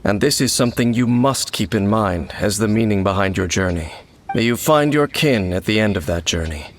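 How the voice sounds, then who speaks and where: An actor playing a calm, mysterious wandering swordsman in a video game, speaking in a measured, formal, grave way. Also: lowish voice, slight background noise, lightly acted. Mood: solemn. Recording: game music loud behind.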